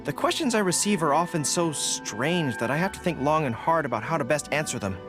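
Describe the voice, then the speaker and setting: An actor playing an earnest, loyal young general in an anime video game, formal and a little flustered. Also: male voice, a little high, expressive, fairly quick, natural voice. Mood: musing. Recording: game music behind the voice.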